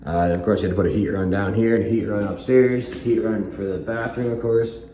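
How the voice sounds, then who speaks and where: A hoarse man giving a relaxed, rambling walkthrough of his half-renovated basement, chuckling as he chats to his viewers. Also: lowish voice, fairly quick, echoey room, slight background noise. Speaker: vlogger. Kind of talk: casual walkthrough chat. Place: home basement under renovation.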